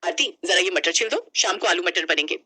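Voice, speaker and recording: A woman voicing a brisk, cheerfully bossy elder sister-in-law in a family story. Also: on the low side, expressive, bright, forceful tone, husky, full character voice. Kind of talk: animated storybook acting.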